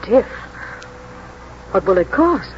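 An actress playing a calm, shrewd, laconic English mother in a 1940s radio drama, terse and measured. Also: medium pitch, slight background noise. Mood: probing, stolid. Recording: muffled 1949 radio broadcast, music behind.

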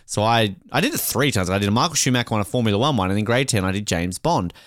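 An Australian man with a very lively, swinging pitch talks in a casual podcast style. He rambles, jokes and swears freely. The sound is dry and close. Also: quick pace, no room sound. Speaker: podcast co-host.

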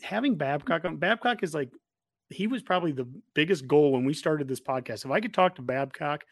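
A man's voice: a little high, lively intonation, fairly quick, no room sound, clean studio sound. A man talking casually and effusively as a sports podcast host, full of enthusiasm for his subject.

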